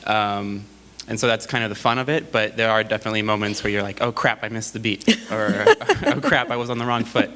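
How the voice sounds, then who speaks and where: A man from Wisconsin, a professional dancer, answering a student question in a relaxed, thoughtful way with many ums. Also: lowish voice, a little fast. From Madison, Wisconsin. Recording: hall reverb, background noise.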